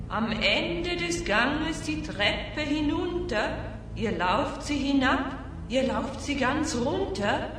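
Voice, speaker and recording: A woman voicing an obedient enchanted boomerang, giving singsong rhymed reports with heavy echo in a fantasy radio play. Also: animated, takes it slowly. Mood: eager, dutiful. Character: enchanted talking boomerang, obedient servile magical messenger. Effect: heavy echo reverb. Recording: distant, heavily echoing, music behind.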